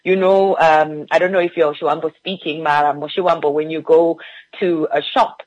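A woman's voice: low voice, steady tone, moderate pace, a little mellow, no echo, lo-fi sound. A woman from Namibia, a PR guest, talking enthusiastically on a radio interview with fillers.

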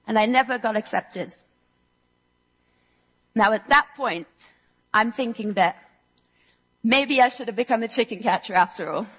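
A woman's voice: a little high, fairly bright tone, big-room sound, poor audio. Earnest young woman giving a talk. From Switzerland. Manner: earnest, storytelling with dry humor. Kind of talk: prepared public talk. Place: lecture room before an audience.

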